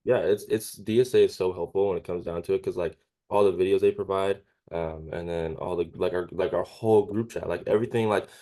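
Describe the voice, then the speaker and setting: A young man around twenty answers interview questions in a deep voice. He speaks casually and a bit haltingly, often tacking short questions onto his sentences. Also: steady tone, dark tone. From California, United States. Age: about 20. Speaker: interviewee, young cleaning business owner. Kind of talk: casual chat.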